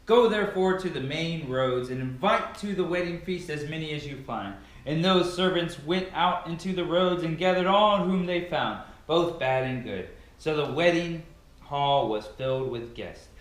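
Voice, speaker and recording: A man's voice: fairly high pitch, moderate pace. A man giving a solemn, declamatory dramatic reading of scripture as an amateur reader. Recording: very echoey, reverberant room.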